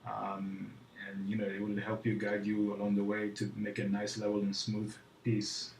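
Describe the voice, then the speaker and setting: A man putting on a French accent as he presents an informal how-to tutorial in a hesitant, halting way. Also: fairly low pitch, completely flat delivery, creaky. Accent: put-on French. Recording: roomy echo, faint background noise.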